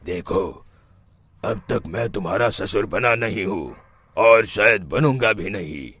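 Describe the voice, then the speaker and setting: A man's voice: lowish voice, expressive, slow, fairly bright tone, breathy edge, no echo, full character voice. A man voicing a stern older father-in-law in a cartoon, speaking in a dismissive tone. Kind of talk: cartoon voice acting.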